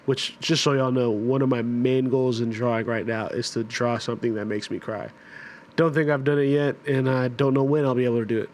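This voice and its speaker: A streamer muses out loud in a relaxed, thoughtful way, working through each idea step by step. His voice sits at a middle pitch, with a faint hiss of noise behind it. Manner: thoughtful, builds long reasoned points. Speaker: streamer reacting to a video. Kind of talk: casual reflective commentary.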